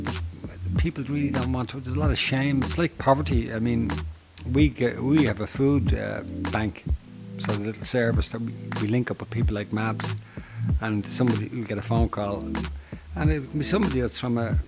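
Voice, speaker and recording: A middle-aged Dublin man who manages a homeless service, answering interview questions earnestly with many halting restarts. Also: fairly low pitch, creaky, rough recording. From Ireland.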